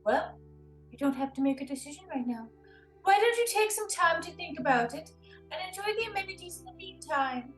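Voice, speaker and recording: Lady doing a seductive persuasive recruiter gal, high voice, coaxing, comedy table read, faint music. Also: animated, fairly quick. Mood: reassuring. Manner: coaxing, reassuring. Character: persuasive, seductive female recruiter for a pickup artist.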